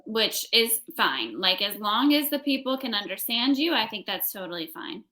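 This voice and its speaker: A woman's voice: mid-range voice, very animated, moderate pace, bright, forceful tone. A young American woman tutoring English in a casual one-on-one conversation, phrasing things simply for a learner. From United States.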